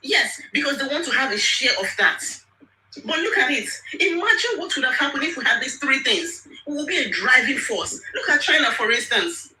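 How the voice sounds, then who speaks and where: A woman's voice: high-pitched, sing-song, normal speed, sharp tone. A woman from Lagos with a Nigerian accent, speaking emphatically and indignantly on a political topic in an online audio room, repeating words for force. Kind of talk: impassioned political rant. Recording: roomy, distant mic with faint noise.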